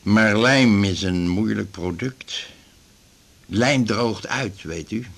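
Low gravelly fifty-something ex salesman, moans and rambles on slowly, radio play. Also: heavily acted. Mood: rueful. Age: 50s. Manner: rambling, grumbling storyteller. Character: aggrieved, garrulous 55-year-old retired glue salesman. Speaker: patient in a doctor's office. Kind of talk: naturalistic radio drama acting.

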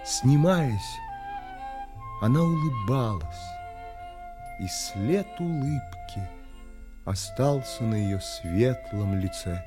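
An actor playing an earnest, reflective gentleman traveler, narrating an audio drama in literary, polite phrasing. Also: mid-range voice, expressive, music in the background. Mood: reflective, wistful. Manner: literary, measured, polite but firm.

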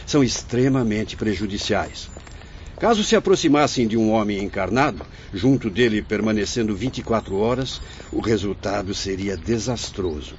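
Man playing a benevolent old sage, expressive Brazilian voice. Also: lowish voice, a little hiss or hum, lightly acted. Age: older. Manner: measured, benevolent, didactic. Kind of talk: Brazilian radio drama acting. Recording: muffled audio with music.

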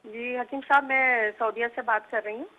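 A woman's voice: a little high, steady tone, moderate pace. A woman calling in as a worried mother, rambling and repeating herself. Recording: dull phone line.

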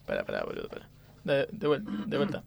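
A man's voice: mid-range voice, wildly swooping pitch, quick pace, creaky. A man with an Argentine accent, a comedy performer chatting casually during a rehearsal.

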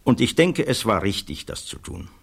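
An actor playing a thoughtful physicist giving measured, earnest testimony in a German radio play. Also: male voice, fairly low pitch, big pitch swings, vocal fry, fairly bright tone, slightly rough, exaggerated voice. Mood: grave, troubled. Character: thoughtful male physicist witness, earnest, troubled by conscience.

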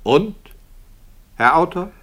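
An actor playing an agitated, puzzled radio director in a 1960s German radio play, questioning insistently and excitably. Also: male voice, fairly high pitch, takes it slowly, heavily acted. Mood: impatient.

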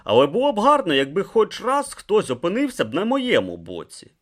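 A man doing a timid, slow young priest. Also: sits high, huge pitch swings, fairly bright tone, pitched above the performer's own voice, full character voice.

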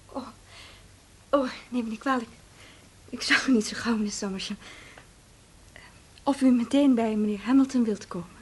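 An actress in a Dutch radio play, playing a flustered, apologetic young office secretary. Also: female voice, a little high, moderate pace, long pauses, a little mellow, breathy edge.

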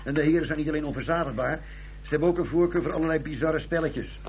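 An actor playing a chatty, jokey police detective in a Dutch radio drama. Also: male voice, fairly high pitch, fast talker, background noise, close to an everyday voice. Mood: informative. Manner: animated, jokey.

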